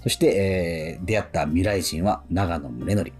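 A male radio host talks loosely and easily. His voice is low and he fills gaps with lots of hesitations. Music plays clearly behind him. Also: measured pace. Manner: relaxed, with many fillers and chuckles. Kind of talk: casual radio chat.

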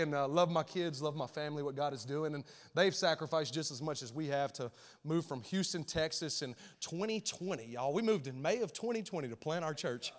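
A man in his thirties with an Alabama accent, a guest pastor opening his sermon with folksy, animated talk to the congregation. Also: on the high side. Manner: folksy, animated, repeats words, rallies the crowd. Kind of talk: sermon opening, casual and energetic. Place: large church auditorium. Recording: long reverb of a large hall.

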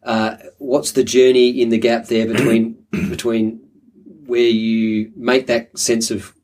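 A man interviewing a guest, asking halting questions with restarts. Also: mid-range voice, level tone, darkish tone, far from the mic.

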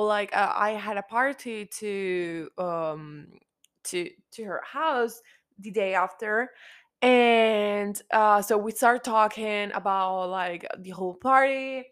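Slow-talking hoarse young woman. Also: a little high, a bit bright. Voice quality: slightly raspy, worn voice. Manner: chatty, repeats herself, frequent restarts and fillers. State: hoarse after a concert. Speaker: podcast host. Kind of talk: casual solo podcast chat. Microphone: studio microphone.

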